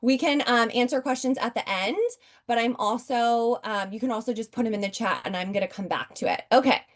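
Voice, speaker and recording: A woman's voice: fairly high pitch, a little fast. A woman in her forties presents a business opportunity from home over a video call. She is upbeat and chatty, and she keeps turning to her audience to address them directly. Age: 40s. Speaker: network marketing presenter. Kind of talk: sales pitch on a video call.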